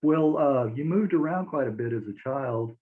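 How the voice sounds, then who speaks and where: A man's voice: medium pitch, expressive, normal speed. A man hosting an interview, asking questions and giving brief affirming interjections. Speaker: interviewer host. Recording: tinny phone line.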